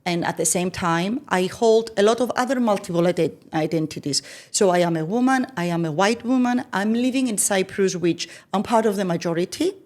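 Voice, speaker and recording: Woman, podcast guest. Patient, methodical explainer. Mid-range pitch. Keeps checking the listener follows. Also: fairly quick, hardly any pauses, darkish tone. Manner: explains step by step, often checking in with "right?". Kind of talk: explanatory conversation.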